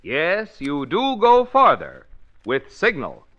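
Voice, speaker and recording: A man's voice: a little high, huge pitch swings, takes it slowly. A man announcing in emphatic, punchy 1940s radio advertising style. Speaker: commercial announcer. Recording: dull vintage radio recording.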